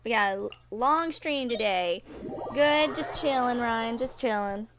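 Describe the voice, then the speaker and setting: A young woman in her twenties talks to her stream viewers in a relaxed, offhand manner. Her voice sits a little high, and she keeps things informal and chatty, like someone settling into a long session. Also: unhurried, noisy background. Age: 20s. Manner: laid-back, sing-songs filler syllables to self. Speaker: live streamer. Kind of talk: casual chat with stream viewers.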